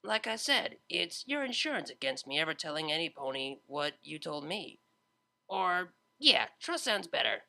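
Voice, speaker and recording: Male reader as a young dropout DJ mare, breezy and slangy, slightly high and gravelly. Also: very animated, normal speed, sharp tone, exaggerated voice. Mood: casual. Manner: casual, slangy, a bit self-mocking. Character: laid-back young female unicorn DJ, a blunt dropout. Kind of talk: fan audiobook character voice.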